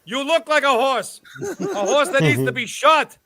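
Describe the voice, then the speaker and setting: A man on a gaming podcast panel, loudly trash-talking and teasing a friend in a mock roast. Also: very high-pitched, very animated, normal speed. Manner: loud, teasing, over-the-top insults.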